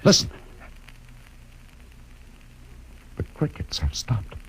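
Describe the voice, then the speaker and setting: An actor playing a haunted, suspicious doctor in a 1950s radio drama, speaking slowly and haltingly as he broods. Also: fairly bright tone, slight background noise, natural voice, rough recording. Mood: dread. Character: haunted, suspicious male doctor reasoning out a dreadful theory.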